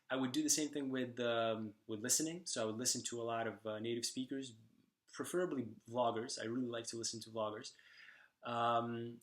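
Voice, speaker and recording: A young man whose first language is Slovak, talking to camera in a casual vlog about learning languages, pausing often with ums and restarts. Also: medium pitch, fairly bright tone. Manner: thoughtful, lots of ums and restarts.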